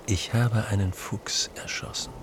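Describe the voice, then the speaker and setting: An actor playing a grim, proud farmer husband in a radio play, speaking in a hushed, gravelly voice, brooding and insistently justifying himself. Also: male voice, fairly low pitch, fairly even intonation, measured pace, heavy background noise, close to an everyday voice. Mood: grim, subdued.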